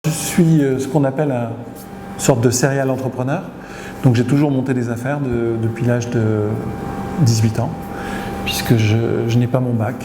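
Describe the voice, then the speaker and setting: A middle-aged man from Tunisia, a company CEO answering interview questions, who pauses often and hesitates mid-sentence. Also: medium pitch, steady tone. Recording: echoey hall with noise behind.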